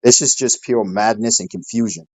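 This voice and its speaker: A man with a fairly low voice moderates an online discussion room. He talks casually and hesitantly, often checking in with "right?". The sound is dry and close. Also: normal speed, breathy edge, no echo, rough recording. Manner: hesitant, full of fillers and "right?".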